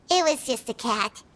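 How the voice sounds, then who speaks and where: A woman voicing a small, cheerful, chatty childlike companion creature with a squeaky voice in a video game. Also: dry recording, heavily acted.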